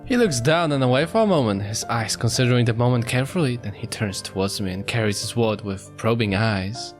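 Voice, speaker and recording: Expressive man narrating a story game. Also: medium pitch, brisk. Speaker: player reading a visual novel aloud. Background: guitar music.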